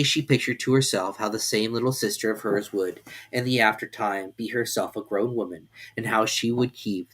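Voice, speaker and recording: A man giving an expressive, measured dramatic reading of a classic tale. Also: lowish voice. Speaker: storybook reader.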